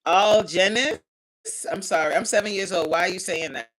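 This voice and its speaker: A woman hosting a casual livestream, reading chat aloud, animated and outspoken, exclaiming often and laughing as she talks. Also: on the low side, normal speed. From United States.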